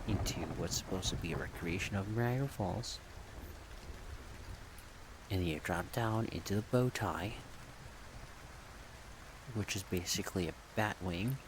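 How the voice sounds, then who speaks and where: Male, deep and unhurried, soft-spoken casual ASMR rambler, rain-like noise behind the voice. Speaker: ASMR creator rambling about a project. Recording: steady rain-like background noise.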